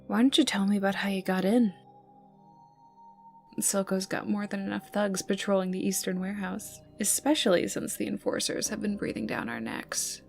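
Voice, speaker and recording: A woman playing a menacing, teasing young enforcer for a crime boss in an ASMR roleplay, taunting the listener in a breathy voice that dips toward whispers. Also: medium pitch, moderate pace, darkish tone, close to an everyday voice. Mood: curious, teasing. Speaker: voice actor in audio roleplay. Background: ambient music and mild sound effects.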